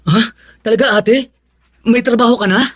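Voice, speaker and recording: An actor playing a grateful, studious young son in a Filipino radio drama, with an earnest, polite delivery. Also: male voice, very high voice, moderate pace. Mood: excited, eager.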